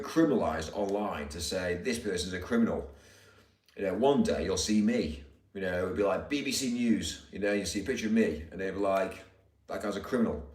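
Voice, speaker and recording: A man with a deep voice, ranting in rambling, indignant casual chat as a live streamer. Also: darkish tone. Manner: rambling, indignant, repeats himself. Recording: roomy, distant mic.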